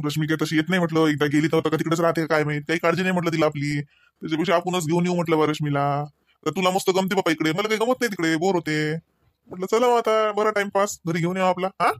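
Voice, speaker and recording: A man's voice: fairly high pitch, dry recording, clean studio sound, close to an everyday voice. A man with a Vidarbha Marathi accent playing a needling young husband in a comedy cartoon, chatty and mock-reproachful. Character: teasing, needling young husband at his in-laws.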